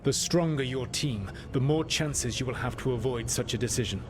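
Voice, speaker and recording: A man playing a solemn, formal advisor in a fantasy game, speaking with grave, deliberate care. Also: medium pitch, lightly acted. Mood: grave counsel. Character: solemn, measured male advisor who warns of powerful gods. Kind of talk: cinematic fantasy game dialogue. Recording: clearly noisy ambience behind voice.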